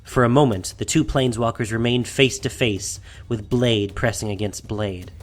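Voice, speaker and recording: A man's voice: mid-range voice, steady tone, normal speed. A man reading scripted fantasy fiction as the narrator of an audio drama, in a dramatic storytelling style. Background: faint music.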